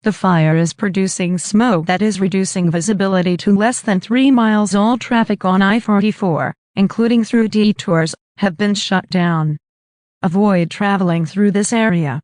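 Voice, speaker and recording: A woman reading a formal scripted emergency message in a measured, official manner. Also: medium pitch, darkish tone, dry recording, clean studio sound. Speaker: emergency alert announcer.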